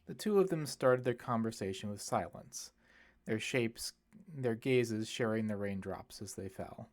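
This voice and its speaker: A man's voice: fairly low pitch, lively intonation, a little slow, darkish tone. A man reading literary fiction aloud as an audiobook narrator, telling the story expressively. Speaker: audiobook reader.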